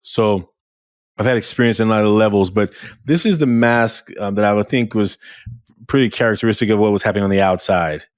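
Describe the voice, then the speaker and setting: A man hosting an interview podcast, talking in a loud, animated way with frequent restarts. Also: on the low side, a little fast, dry recording, clean studio sound. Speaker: podcast host. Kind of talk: casual interview chat.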